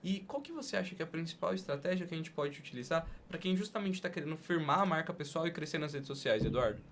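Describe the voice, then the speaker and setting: Higher-pitched Brazilian man, casual podcast host. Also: expressive, quick pace, fairly bright tone, slight background noise. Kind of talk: casual interview chat with slang.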